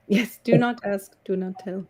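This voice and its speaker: A woman's voice: mid-range voice, fairly even intonation, normal speed, mellow, a little hiss or hum. A woman hosting a casual chat, teasing and joking playfully. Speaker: host.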